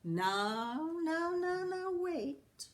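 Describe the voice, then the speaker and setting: A woman's voice: very high-pitched, sing-song, slight background noise, pitched above the performer's own voice, full character voice. Woman as firm, wise old village witch. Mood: calming, firm. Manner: measured, firm.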